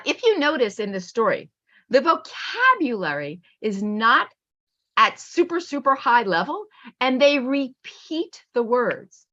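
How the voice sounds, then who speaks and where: A middle-aged woman speaks as a teacher trainer, with a high voice that swings expressively up and down. She is encouraging and emphatic as she explains to an online group, and the call audio is slightly dull and lo-fi. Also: a bit bright. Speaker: teacher trainer and read-aloud presenter. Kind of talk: teaching webinar with animated picture-book reading. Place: at home on an online video call.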